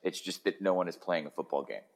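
Deep-voiced, slightly hoarse man chatting casually. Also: quick pace. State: hoarse, hungover. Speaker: sports podcast host. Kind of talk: casual football podcast chat.

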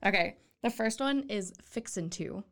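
Female host for a local interview show, medium pitch, casual and conversational. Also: animated, unhurried, creaky, a bit bright.

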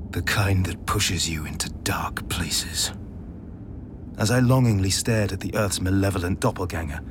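An actor playing a vengeful artificial intelligence in a vast spaceship, narrating an audio drama in a brooding, deliberate, intense way. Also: male voice, sits low, background noise. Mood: grieving cold anger.

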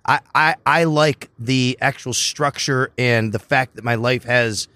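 A man with a hoarse, raspy voice, chatting casually as a podcast guest about his work as a sports reporter, telling stories in a quick, animated way. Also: mid-range voice, dry recording. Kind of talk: casual chat. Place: bar or restaurant.

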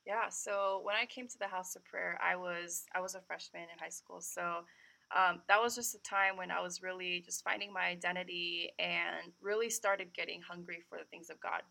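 Young woman with a gravelly, bright voice. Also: lowish voice, fairly even intonation, moderate pace. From California. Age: young adult. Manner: chatty, sprinkled with "like". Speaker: guest missionary. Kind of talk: casual personal testimony.

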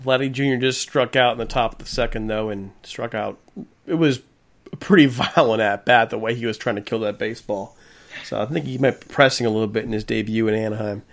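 A man's voice: mid-range voice, a little fast, vocal fry. A man hosting a podcast in casual chat, asking long, rambling questions. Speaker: podcast host.

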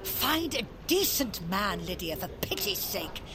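A woman's voice: medium pitch, very animated, measured pace, a crowd in the background. A woman playing a brash, impatient, flamboyant old granny in an audio drama, with a blunt, bossy, theatrical delivery. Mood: scornful. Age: older. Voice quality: theatrical, swooping.

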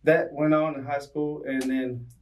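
A man's voice: on the high side, level tone, a little slow, far from the mic. Laid-back man in his thirties, slangy. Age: 30s. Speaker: guest, business owner. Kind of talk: casual storytelling.

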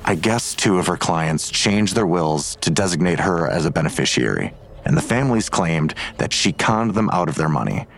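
A man's voice: low voice, expressive, normal speed, gravelly, exaggerated voice. A man playing a weary, grudging police detective in an audio drama, curt and sardonic in manner. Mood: grudging, guarded.

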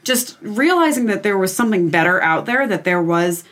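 Woman, late 20s, talkative guest who tags her sentences with "right?", middle pitch. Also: brisk. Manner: chatty, lively storyteller, frequent "right?" tags. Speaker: podcast guest. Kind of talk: casual chat.

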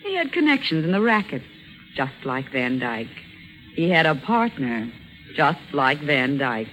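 A woman's voice: low-pitched, very animated, unhurried, fairly bright tone, faint music behind, full character voice, low-quality recording. A woman playing a tough, teasing, sardonic femme fatale in a 1940s radio crime drama. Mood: cool, evasive. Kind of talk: 1940s radio noir acting. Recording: old 1940s radio recording.

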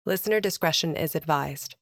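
A woman's voice: low voice, a little fast, a bit bright. A woman announcer reading scripted credits and a listener advisory. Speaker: show announcer.

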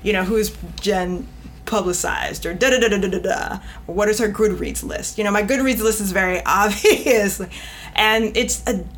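A woman's voice: medium pitch, normal speed. A woman from New York, a guest editor talking directly in a casual podcast chat and laughing often as she speaks.

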